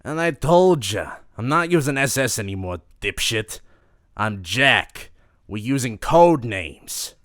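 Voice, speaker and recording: Gangster boss, male, acted by a man: irritable, commanding, slow drawn-out delivery with big pitch swings, audio drama. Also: animated, fairly bright tone, no room sound, clean studio sound. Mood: irritated. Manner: slangy, drawn-out barking orders. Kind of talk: audio drama character acting.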